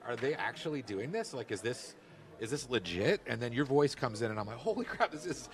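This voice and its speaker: A man's voice: mid-range voice, lively intonation. A man hosting a convention interview, asking questions with fan enthusiasm. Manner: eager fan, quick asides and laughs. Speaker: interviewer host. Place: outdoor convention floor.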